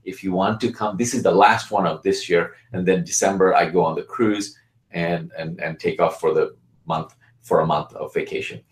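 A man from Bangladesh giving an energetic, persuasive sales pitch for his real estate program. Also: normal speed, roomy sound. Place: Tampa, Florida.

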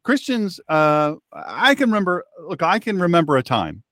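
A man in his fifties hosting an opinionated radio talk show, often repeating words for emphasis in a halting way. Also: high voice, wildly swooping pitch, a bit bright, no room sound, clean studio sound. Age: 50s.

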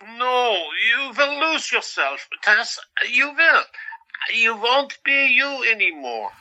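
Man acting an anxious young asylum patient, high-pitched. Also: very animated, slow pace, pushed and bright, highs cut off, full character voice. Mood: pleading. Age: young adult. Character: gentle, tormented young male asylum patient, anxious friend. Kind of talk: audio drama acting.

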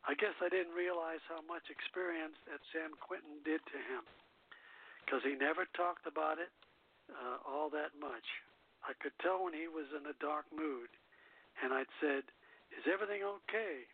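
Husky male radio host on a phone line. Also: a little high, normal speed. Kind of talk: reading a music biography aloud. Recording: tinny narrow phone-line sound.

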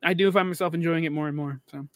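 A man's voice: fairly high pitch, fairly even intonation, quick pace, no echo. A man co-hosting a podcast, talking casually with crude, teasing banter. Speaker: podcast co-host.

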